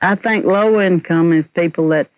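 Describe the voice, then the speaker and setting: A man's voice: high voice, normal speed, no echo. Man, archive clip, emphatic, thin lo-fi sound. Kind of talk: archival interview. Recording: tinny old phone-like archive recording.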